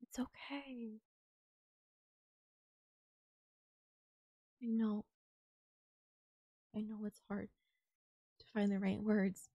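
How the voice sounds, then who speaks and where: A woman's voice: mid-range voice, fairly even intonation, slow pace, no echo, lo-fi sound. A woman playing a tender, reassuring alien girlfriend in an intimate, bittersweet romantic audio roleplay. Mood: comforting, wistful. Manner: soft-hearted, reassuring, gently teasing, addressing a lover. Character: tender alien girlfriend saying a sad goodbye.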